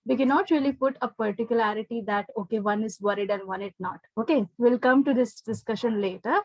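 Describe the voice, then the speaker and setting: A woman's voice: a little high, fairly quick, dry recording, poor audio. A woman with an Indian accent teaching an interactive spoken English lesson online, instructing and often checking understanding with short questions. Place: online live class.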